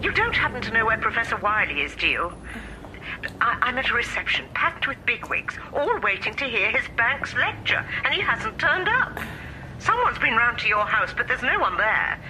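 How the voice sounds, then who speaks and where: A flustered, grand society lady who gushes down a telephone line. Her voice is very bright and lively, pitched a little high. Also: normal speed, slight background noise, heavily acted. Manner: gushing, fretful.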